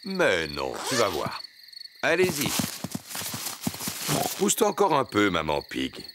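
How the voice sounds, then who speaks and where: A man's voice: fairly low pitch, wildly swooping pitch, slow, noisy background, heavily acted. A man voicing a jolly, self-satisfied father pig in a French cartoon dub, hearty and chuckling. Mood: jovial.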